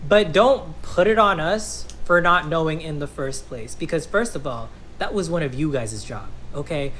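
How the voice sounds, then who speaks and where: A man's voice: a little high, animated, normal speed, a bit bright. An American man delivers a pointed monologue in a confrontational way. He leans hard on emphatic rhetorical questions. The clip carries a faint layer of noise. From United States. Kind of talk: argumentative monologue to camera.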